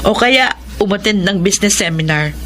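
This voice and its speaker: An actress playing a friendly, concerned young woman in a radio drama, coaxing and animated as she advises. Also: medium pitch, a little fast, close to an everyday voice. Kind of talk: Filipino radio drama acting. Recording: faint background noise.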